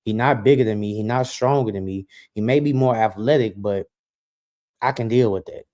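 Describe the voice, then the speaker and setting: A man's voice: fairly low pitch, normal speed, warm tone. A man co-hosting a sports podcast, explaining basketball points in casual, slangy speech.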